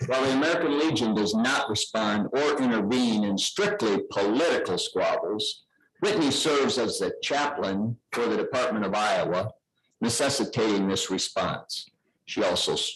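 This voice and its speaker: An older man reading formally and deliberately at a public meeting, occasionally stumbling over the written words. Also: on the low side, poor audio. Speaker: veteran representative at public comment. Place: indoor public board meeting room.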